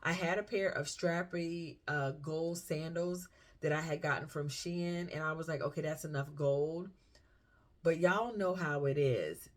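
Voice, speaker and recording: A middle-aged woman from South Carolina speaks with a Southern US accent in a fairly low voice. She talks casually, like a fashion vlogger sharing a haul. Her storytelling is chatty, and she voices her own inner reactions along the way. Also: a little slow. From South Carolina, United States.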